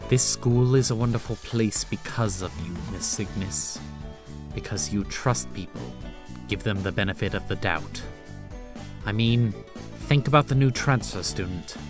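Man playing a low-voiced, devoted formal aide. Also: unhurried, over music. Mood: reassuring. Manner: earnest, reassuring. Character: formal, protective, devoted male aide at a school. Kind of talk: fan dub of an anime-style online game.

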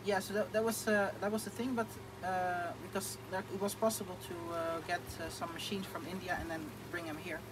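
A man's voice: high-pitched, fairly even intonation, fairly quick, few pauses, very noisy. A young man with a Dutch accent, speaking casually as an interview guest, with many fillers and restarts. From Netherlands. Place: outdoor thatched shelter.

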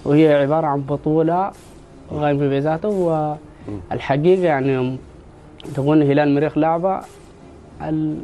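A young man in his twenties with a Sudanese Arabic accent, a football fan and medical student, answering earnestly in a studio interview with frequent halting restarts. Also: on the high side, steady tone, dark tone, a little hiss or hum. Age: 20s.

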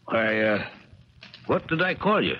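Animated, halting elderly uncle. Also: male voice, fairly low pitch. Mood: fond, wistful. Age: older. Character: ailing elderly uncle, fond, evasive, sentimental. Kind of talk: 1970s radio drama acting. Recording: crackly, dull 1970s radio recording.

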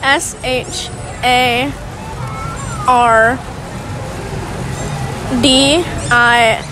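A woman's voice: fairly high pitch, steady tone, extremely slow, poor audio. A young woman stopped in the street at an amusement park, hesitantly spelling a name letter by letter and laughing at herself. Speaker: passer-by. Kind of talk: casual street interview. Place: outdoor amusement park at night. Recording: music and crowd noise behind.

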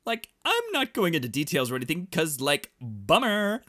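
A man's voice: fairly high pitch, sing-song, normal speed, sharp tone, dry recording, studio quality, voice pushed up. A man voicing a flippant, evasive teenage schoolgirl from a visual novel, with breezy, exasperated teen talk. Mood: evasive, reassuring. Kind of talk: visual novel character read aloud. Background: game music.

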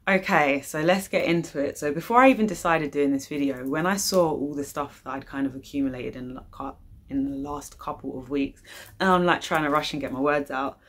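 A young adult woman with a British accent, chatting casually and informally as she hosts her vlog. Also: sits low. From United Kingdom. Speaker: YouTube channel host. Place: living room, indoors.

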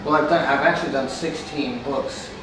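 A man's voice: mid-range voice, fairly even intonation, moderate pace, far from the mic. A middle-aged American man giving an earnest, emphatic conversational interview, often checking that his listener understands. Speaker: interview guest, author and sports executive. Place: glass-fronted stadium suite, indoors. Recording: echoey, noisy, off-mic camera recording.

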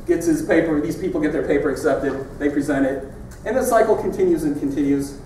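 A man's voice: fairly high pitch, a little mellow, far from the mic, a little hiss or hum. Man lecturing in an echoey hall. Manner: conversational, wry, pointing at slides. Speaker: keynote speaker. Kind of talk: conference keynote lecture.

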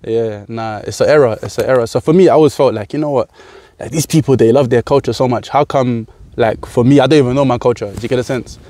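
A young man with a London accent talks fast in a low-key, mellow tone, often doubling back on words. He is a farmer being interviewed out in an open field, on a small clip-on mic. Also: dry recording. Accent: London English. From United Kingdom. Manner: animated, gestures while talking, repeats words. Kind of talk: casual interview. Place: outdoors in a crop field.

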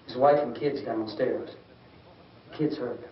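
A man's voice: medium pitch, takes it slowly, lightly acted. An actor playing an earnest, reasonable young American man in an old horror film, a polite, pleading peacemaker. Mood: conciliatory. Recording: echoey, dull old film soundtrack, distant.

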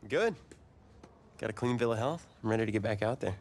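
A man's voice: fairly low pitch, expressive, fairly quick, darkish tone, a little hiss or hum. A man playing a determined young football receiver in a video game cutscene, speaking tersely and confidently with an American accent. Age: young adult. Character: determined young college-bound football receiver back from illness.

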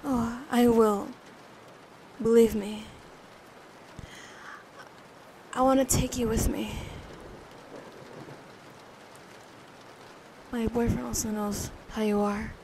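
A woman playing a gentle, protective big sister comforting a child to sleep in a soothing, hushed ASMR roleplay, close to the microphone. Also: on the high side, little pitch movement, normal speed, slight background noise, lightly acted, low-quality recording. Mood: reassuring, protective.

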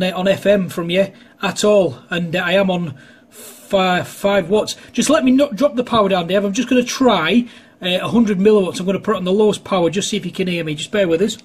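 High-pitched husky man on the radio. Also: brisk. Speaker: amateur radio operator filming a test. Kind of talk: casual two-way radio chat. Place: indoors at a home radio desk.

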